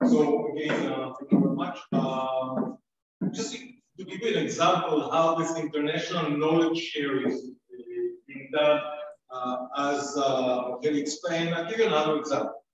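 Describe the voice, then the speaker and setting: A man moderating a seminar, speaking haltingly with many fillers. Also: fairly high pitch, fairly even intonation. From Israel. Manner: halting, frequent uh and um. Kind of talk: hesitant presentation talk with many fillers. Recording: echoey, distant, dull sound with faint noise.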